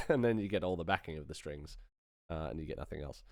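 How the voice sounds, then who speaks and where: A man's voice: a bass voice, animated, fast, no room sound, studio quality. A man giving a casual sound design tutorial on a livestream, rambling and thinking aloud as he works.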